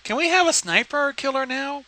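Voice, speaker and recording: A man's voice: very high-pitched, animated, moderate pace, sharp tone. A man co-hosting with casual sarcastic commentary, blunt and profane. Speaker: co-host heckler.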